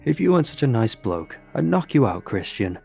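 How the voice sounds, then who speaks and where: A man's voice: lowish voice, very animated, moderate pace, creaky, a little mellow, faint music behind. A man voicing a cheerful, boastful drunken British bloke in a story, with slurred, matey, emphatic speech.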